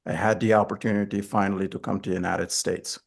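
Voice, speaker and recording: A man's voice: fairly low pitch, little pitch movement, quick pace, vocal fry. A man, answering interview questions as a school board candidate and telling his story earnestly. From Morocco.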